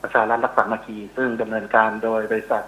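A man's voice: lowish voice, flat delivery, fast talker, fairly bright tone, slight background noise, poor audio. A middle-aged man explaining as an expert guest in a phone interview, often searching for words. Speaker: interview guest, university researcher. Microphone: telephone call.

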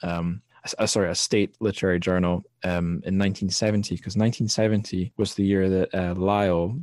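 A man's voice: sits low, level tone, brisk, darkish tone, no echo. A man hosting a podcast, talking casually and a little hesitantly about literature in a thoughtful, self-deprecating way. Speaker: podcast host.

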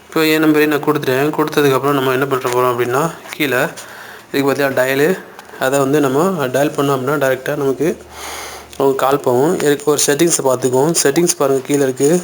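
A man speaks at an ordinary middle pitch, guiding listeners step by step like an online tutorial host. His phrasing is informal and colloquial as he explains each action aloud. The amateur recording carries faint background noise. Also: little pitch movement, fast talker.

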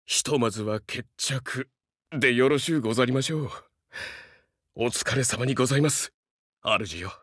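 A man's voice: medium pitch, moderate pace, long pauses, fairly bright tone, dry recording, clean studio sound, close to an everyday voice. A man voicing a young, fiercely loyal dog-man samurai in a Japanese game, speaking earnestly in formal, archaic warrior speech with dramatic delivery. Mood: courteous, devoted.

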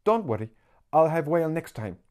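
A man's voice: fairly high pitch, very animated, brisk, mellow, pitched above the performer's own voice. A man voicing a small, eager teenage girl selling her wares in sing-song patter in an audiobook. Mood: cheerful.

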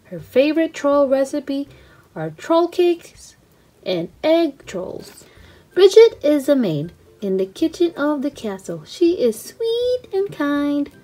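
A woman reading a children's storybook aloud in an expressive, simple storytelling manner for young children. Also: high voice, takes it slowly, low-quality recording. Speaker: read-aloud channel host.

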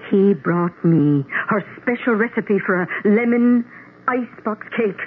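An actress playing a worried, talkative housekeeper in a radio drama, hesitant and stammering, with homely turns of phrase. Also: female voice, mid-range voice, animated, unhurried, close to an everyday voice. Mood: uneasy, insistent. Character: worried, talkative housekeeper, loyal and easily spooked. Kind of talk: 1970s radio drama acting. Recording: tinny, noisy old radio broadcast.

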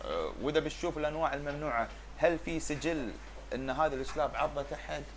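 A man's voice: fairly high pitch, lively intonation, racing. A man in his thirties with a Kuwaiti Arabic accent, talking conversationally as an interview guest and repeating words for emphasis. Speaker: dog breeder and importer, interview guest. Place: pet shop with glass animal enclosures. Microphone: lapel. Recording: faint background noise.

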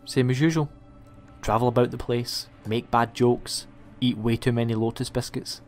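A man's voice: medium pitch, fairly even intonation, normal speed, darkish tone, big-room sound, faint music behind, natural voice. A young man playing a cheeky, eccentric time-travelling hero in a science fiction audio drama. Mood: light, wry. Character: cheeky, eccentric heroic male time traveller, bold wanderer.

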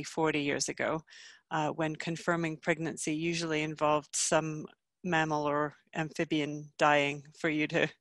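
A woman's voice: deep voice, fairly bright tone, no room sound. A woman in her thirties, from Canada with a Canadian accent, giving a medical webinar from home over a video call, friendly and full of ums. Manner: friendly, many ums, self-deprecating. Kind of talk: medical lecture. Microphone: headset.

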